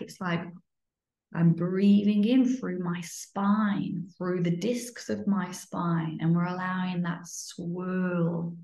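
A woman leading a guided breathing meditation in a soothing, instructional way, sometimes dropping to a breathy near-whisper. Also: fairly low pitch, dark tone. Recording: muffled, lo-fi, roomy echo.

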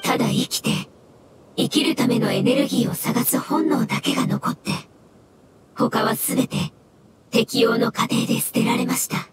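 A woman voicing a meek, sorrowful, polite elemental creature in an anime game, speaking softly. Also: on the high side, normal speed, very noisy, natural voice. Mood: sad, explanatory. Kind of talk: Japanese anime game voice acting. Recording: loud music behind the voice.

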